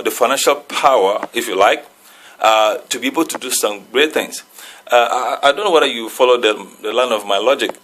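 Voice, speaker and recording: A man, a reverend, putting a long question to a radio panel, pausing often as he searches for his words. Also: medium pitch, sharp tone, a little hiss or hum.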